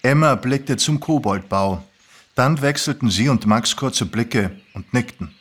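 Man narrating a story, medium pitch. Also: expressive, a little slow, breathy edge. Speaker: narrator and reader.

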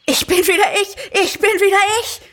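A woman voicing a slight, excitable man freed from a spell in an audio-play reading, exclaiming and cheeky. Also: extremely high pitch, normal speed, pitched above the performer's own voice. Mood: ecstatic, disbelieving. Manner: overjoyed exclaiming, cheeky and self-assured.

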